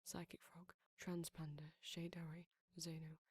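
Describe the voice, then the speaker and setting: A woman speaking in a soft, breathy whisper, reading out ASMR outro credits in a gentle, measured way. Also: low-pitched, level tone. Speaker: audio roleplay creator reading credits.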